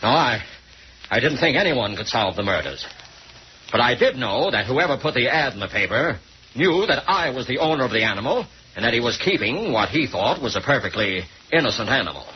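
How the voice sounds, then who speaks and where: An actor playing a guilt-ridden, pleading sailor in an old-time radio melodrama, agitated and halting. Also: male voice, medium pitch, very animated, close to an everyday voice. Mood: confessing. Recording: muffled, noisy old radio recording.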